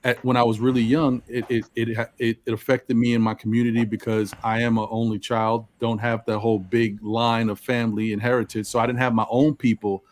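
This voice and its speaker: Deep-voiced, articulate man. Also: flat delivery, brisk, few pauses, a little mellow. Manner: precise, articulate enunciation. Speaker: panel participant. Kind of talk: reflective personal talk in a group discussion.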